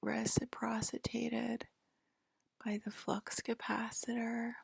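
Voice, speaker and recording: A woman whispering softly and soothingly in an improvised ASMR sci-fi roleplay, playing a calm alien abductor tending to a patient. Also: fairly high pitch, dry recording. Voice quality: breathy whisper. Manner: soft, slow, soothing. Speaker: ASMR performer. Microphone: close microphone, dry.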